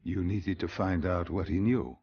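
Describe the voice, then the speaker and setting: A man playing a stern, probing interrogator in a video game, questioning in a measured way. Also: sits low, breathy edge, no room sound, dull audio.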